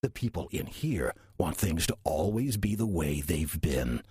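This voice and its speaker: An actor playing a sly, taunting demon posing as a church caretaker, smug and sardonic in a horror audio drama monologue. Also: male voice, sits low, big pitch swings, breathy edge, dry recording, studio quality, heavily acted. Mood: scornful. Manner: smug, sardonic, deliberate storytelling with drawn-out emphasis. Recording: loud music under the voice.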